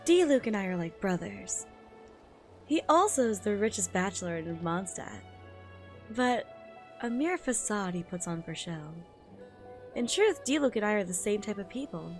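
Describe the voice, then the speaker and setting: A woman streamer reading a manga aloud in an expressive, giggly way, with excited reactions in between. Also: mid-range voice, moderate pace, long pauses. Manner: expressive, giggly, switches into character voices. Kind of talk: live reaction read-aloud with excited asides. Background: music behind the voice.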